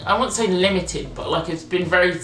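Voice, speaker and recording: A woman's voice: on the low side, a little fast, a bit bright, soft background music. A young British woman in her twenties from England, chatting casually and animatedly with lots of fillers. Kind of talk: casual chat. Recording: roomy echo.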